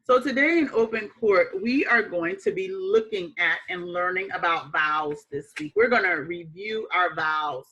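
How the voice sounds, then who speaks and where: A woman's voice: on the low side, normal speed, few pauses, pushed and bright, slightly rough, highs cut off. A woman teaching a phonics lesson to young children as their teacher, using simple phrases, repeating points and asking questions. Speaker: elementary school teacher.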